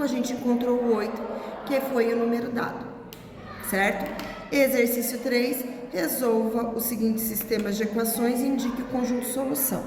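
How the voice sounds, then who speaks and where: A female math teacher with a Brazilian Portuguese accent, working methodically through each calculation aloud and checking in with her students as she goes. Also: fairly high pitch. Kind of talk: recorded math lesson. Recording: echoey room, long reverb, faint noise.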